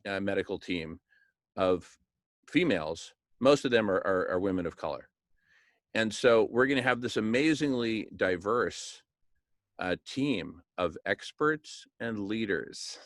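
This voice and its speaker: A man talking informally and enthusiastically like an organizer pitching a plan, emphatic and jokey, often checking for agreement. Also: fairly bright tone, dry recording. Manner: enthusiastic, emphatic, repeatedly seeking agreement with tag questions; jokey, chuckles at his own remarks. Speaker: organizer of a food charity project. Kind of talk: informal motivational planning talk.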